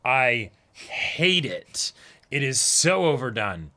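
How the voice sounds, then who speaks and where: Bright, emphatic man in casual banter. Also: mid-range voice, very animated, slow. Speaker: reaction show co-host. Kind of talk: casual chat discussing an anime episode.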